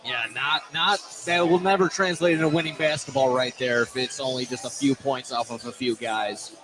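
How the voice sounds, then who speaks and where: A man with a medium-pitched voice gives live basketball analysis as a color commentator. He talks loosely and rewords his points as he goes. Clear gym noise runs behind him on the broadcast. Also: fairly even intonation, moderate pace. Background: clear gym ambience.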